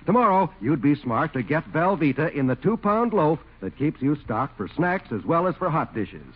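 A man's voice: fairly high pitch, sing-song, moderate pace, husky. A man reading a radio commercial as announcer, in a hearty, persuasive manner. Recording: dull old radio broadcast.

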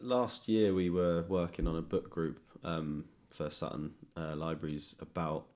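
A man's voice: low-pitched, fairly even intonation, measured pace, darkish tone. A man hosting a conversational interview, phrasing his questions and thoughts tentatively and carefully. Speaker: podcast host. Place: indoors at a home.

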